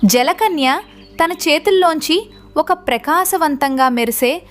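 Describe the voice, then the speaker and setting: A woman reads a scripted children's story as narrator. Her voice is high-pitched. She tells it with dramatic storytelling delivery. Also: animated, takes it slowly, soft background music.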